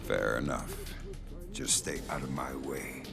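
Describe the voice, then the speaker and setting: A man playing a gruff, laconic veteran covert operative in a video game, terse and deadpan. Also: a bass voice, takes it slowly, music in the background, heavily acted. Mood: dry, curt. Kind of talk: video game cutscene acting.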